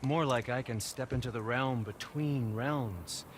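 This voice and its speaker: A man's voice: mid-range voice, unhurried, slight background noise, close to an everyday voice. An actor playing a fussy, nervous dwarf craftsman in a video game, with a hesitant, jittery way of talking. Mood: sheepish. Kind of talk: video game dialogue acting.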